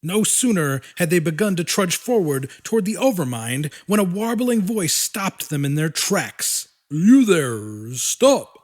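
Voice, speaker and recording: A man's voice: high voice, expressive, normal speed, few pauses. A man reading scripted fiction as an audiobook narrator, in a dramatic storytelling style.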